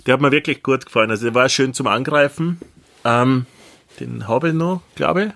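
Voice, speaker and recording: A man's voice: medium pitch, fairly quick, creaky, fairly bright tone. A middle-aged man with an Austrian accent and a mid-range voice. He talks in a casual, conversational way, like a podcast co-host looking back on old memories. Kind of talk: casual chat, reminiscing.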